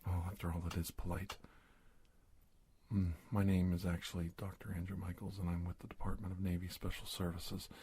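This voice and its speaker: A man playing a cautious, polite government investigator in a soft-spoken ASMR roleplay, speaking haltingly and often dropping to a whisper. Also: low-pitched, little pitch movement, husky. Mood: calm, courteous. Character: cautious, polite government investigator doctor confronting a disguised creature. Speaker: ASMR performer.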